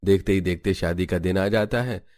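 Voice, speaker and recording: A man's voice: deep voice, normal speed, a little mellow, a little hiss or hum. A man reading scripted narration for a cartoon family story.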